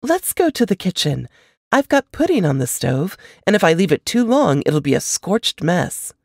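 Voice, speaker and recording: A woman voicing an anxious, warm and chatty elder sister in an audiobook, effusive and full of fussing concern. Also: on the low side, big pitch swings, moderate pace, no echo, studio quality. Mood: soothing, caring. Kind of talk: audiobook character dialogue.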